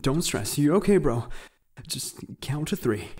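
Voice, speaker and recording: A woman voicing a volatile rich teenage boy who bullies others and erupts into shouted rage. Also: low-pitched, big pitch swings, unhurried. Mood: menacing. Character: volatile rich male teen bully. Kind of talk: fan animation voice acting.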